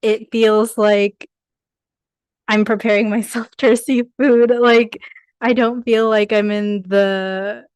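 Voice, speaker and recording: Woman, slow, smooth clear voice, dry close sound, hesitant class answer. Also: on the high side, little pitch movement, darkish tone, dry recording. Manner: tentative, many "like" and "I guess" fillers. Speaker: student in the class.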